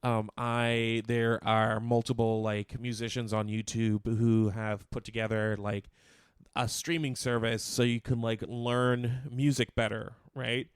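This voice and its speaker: A man co-hosting a radio show, talking through the news casually and off the cuff in informal, halting explanations. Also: medium pitch, flat delivery, clean tone, dry recording, studio quality. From Canada. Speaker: co-host of a local music and chat radio show; musician. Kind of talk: casual unscripted news chat.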